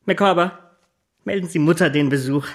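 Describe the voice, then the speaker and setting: An actor playing a falsely humble, scheming clerk in a German radio play, speaking with oily, obsequious politeness. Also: male voice, fairly high pitch, moderate pace, close to an everyday voice. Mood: oily, smug.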